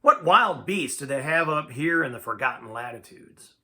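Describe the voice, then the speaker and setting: A man's voice: fairly high pitch, expressive, normal speed, pushed and bright, heavily acted. A man reading a storybook part as a domineering, scornful, impatient rich man, curt and sneering.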